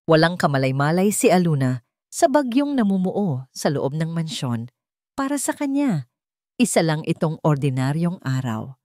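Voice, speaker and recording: A woman narrating a scripted dramatic story in a measured delivery with deliberate pauses. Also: deep voice, darkish tone, no echo, studio quality. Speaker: audiobook narrator.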